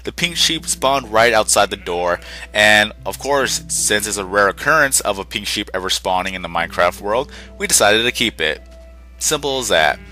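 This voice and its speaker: Young male gaming host, low-pitched and punchy, a touch congested, rambling update. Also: expressive, normal speed, pushed and bright, faint music behind. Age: young adult. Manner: rambling, self-correcting, conversational. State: slightly sick and congested, short on sleep. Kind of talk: casual rambling channel update.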